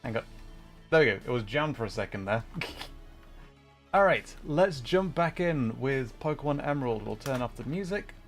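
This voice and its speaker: A man's voice: mid-range voice, lively intonation, normal speed, faint music behind. A man hosting a gaming livestream, chatting casually and playfully while he comments on the game.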